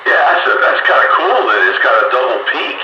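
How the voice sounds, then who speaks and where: A man's voice: mid-range voice, big pitch swings, fast. A man in casual technical chat as a radio hobbyist, talking over a two-way radio. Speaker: amateur radio operator. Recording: noisy, muffled, echoey, distant radio transmission.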